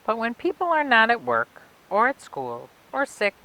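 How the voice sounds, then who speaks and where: A woman reading a children's picture book aloud for a library storytime, expressively and phrase by phrase with emphatic pauses. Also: fairly high pitch, huge pitch swings, pushed and bright, rough recording. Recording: clear background noise and hiss.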